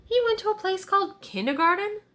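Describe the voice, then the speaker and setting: A woman's voice: extremely high pitch, expressive, brisk, a bit bright, pitched above the performer's own voice. A woman voicing a nonchalant storybook farm dog who gives blunt, punchy answers.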